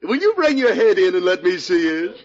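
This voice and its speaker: An actor playing a needling, mock-polite department store clerk in a 1940s radio comedy. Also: male voice, high voice, big pitch swings, heavy background noise. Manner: mocking, drawn-out mock politeness. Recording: dull, muffled 1940s radio recording.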